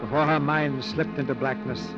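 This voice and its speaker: An actor serving as the solemn narrator of a 1940s radio melodrama. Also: male voice, mid-range voice, fairly even intonation, normal speed, close to an everyday voice. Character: solemn male storyteller of a melodrama. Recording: muffled 1940s radio broadcast recording. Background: loud dramatic music.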